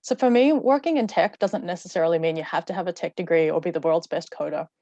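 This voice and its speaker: A woman's voice: on the low side, quick pace, mellow, no room sound, muffled recording. A woman giving a fluent, prepared talk in a webinar over a video call. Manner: fluent, rehearsed. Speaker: webinar speaker.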